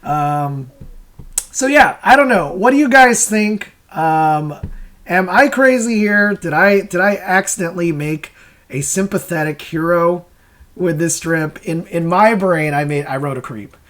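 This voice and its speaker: A man's voice: high voice, expressive, measured pace, fairly bright tone. Casual, hesitant man talking through his work. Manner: hesitant, self-questioning, chuckles while explaining. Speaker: comic creator and host. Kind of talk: casual rambling creator commentary.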